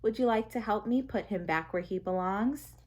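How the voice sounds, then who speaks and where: A woman's voice: medium pitch, normal speed, darkish tone, lightly acted. A woman voicing a friendly, helpful female zookeeper in a children's story, speaking emphatically. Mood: pleased.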